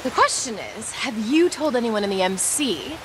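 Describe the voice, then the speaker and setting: Woman playing bookish young American botanist, teasing, articulate, animated, game dialogue, faint outdoor ambience. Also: a little high, normal speed, background noise, close to an everyday voice. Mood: bantering. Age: young adult. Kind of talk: naturalistic video game acting.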